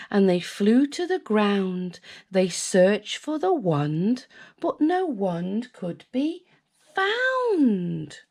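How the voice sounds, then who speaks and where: A woman with a British accent reading a children's picture book aloud in an expressive, sing-song way, with asides to young listeners. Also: a little high, gravelly. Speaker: storyteller, children's channel host.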